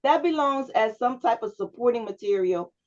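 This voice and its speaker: A woman's voice: on the high side, lively intonation, moderate pace, darkish tone, lo-fi sound. A woman public speaking instructor giving one-on-one feedback to a student over a video call, often checking in with "right?". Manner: coaching, checks understanding with frequent "right?".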